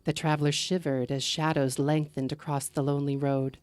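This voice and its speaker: A woman telling a story live, narrating in an expressive, measured way with dramatic delivery. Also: low-pitched, clean tone, no echo.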